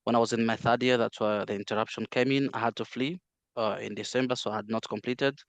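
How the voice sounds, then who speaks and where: A man from the Democratic Republic of the Congo whose first language is Swahili, speaking English in an interview, measured and full of "uh" fillers and restarts. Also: medium pitch, steady tone, no echo. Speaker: interview guest, healthcare interpreter. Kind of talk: casual interview, recounting his life.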